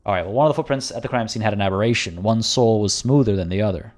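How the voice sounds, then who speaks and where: A man streaming a video game, chatting casually with viewers in a rambling way, and slightly hoarse, as if losing his voice. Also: fairly low pitch, very fast, a little mellow. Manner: rambling and chatty, frequent restarts. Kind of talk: casual livestream commentary.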